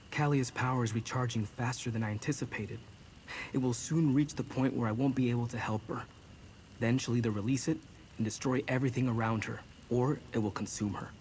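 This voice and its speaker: Man as a gentle game mage, middle-pitched, earnest, measured, protective, faint rain noise behind. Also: natural voice. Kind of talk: video game dialogue acting. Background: rain and ambience.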